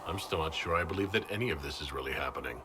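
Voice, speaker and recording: Blunt serious reluctant hero in a game, deep voice, noise behind him. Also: male voice, racing. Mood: guarded, doubtful. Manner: plain-spoken, serious. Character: guarded, blunt male adventurer, reluctant hero. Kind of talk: video game character acting.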